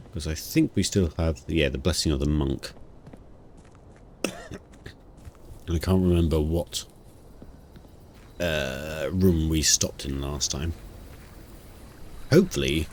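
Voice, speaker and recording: Very deep-voiced man with a cold. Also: animated, slow, long pauses, darkish tone, slight background noise. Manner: rambling, thinking aloud with restarts. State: stuffy nose from a cold. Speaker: gaming channel host. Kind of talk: casual let's-play commentary. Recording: music playing under the voice. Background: new-age music.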